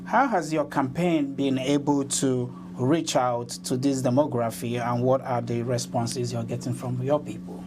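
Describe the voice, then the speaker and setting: A man's voice: medium pitch, steady tone, measured pace, hardly any pauses, music in the background. A man hosting an interview show in a formal, announcer-like way. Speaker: host.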